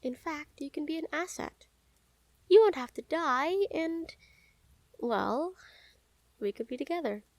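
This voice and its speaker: A woman playing a calm, menacing yet smitten assassin in an intimate audio roleplay, speaking softly and teasingly with deliberate pauses. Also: high voice, no echo. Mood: smitten, confiding. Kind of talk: intimate scripted audio roleplay addressed to the listener.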